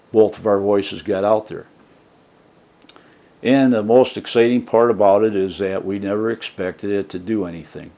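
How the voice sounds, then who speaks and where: A man with a very low, deep voice talks softly and casually to his viewers. He rambles, circling back with fillers and restarts, in a relaxed home vlog set-up on a desk mic. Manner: soft-spoken, rambling with many fillers and restarts. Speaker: YouTube channel host. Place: trailer home. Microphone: desk mic set for a soft voice.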